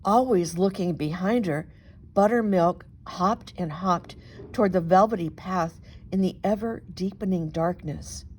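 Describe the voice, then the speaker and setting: A woman reading a children's story aloud as an expressive narrator, with dramatic, deliberate emphasis. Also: lowish voice, husky. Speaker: storybook reader, reverend.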